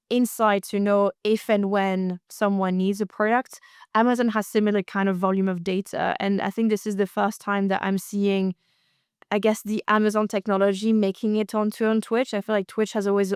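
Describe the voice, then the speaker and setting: A woman's voice: mid-range voice, clean tone, dry recording, clean studio sound. A woman co-hosting a casual podcast, chatting loosely with lots of fillers and restarts. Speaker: co-host.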